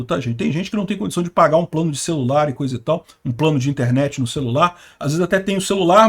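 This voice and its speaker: Fifty-something Rio man with a high voice. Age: 50s. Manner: conversational, rambling, with frequent asides and tag questions. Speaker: political commentary channel host. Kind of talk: opinionated solo commentary to camera.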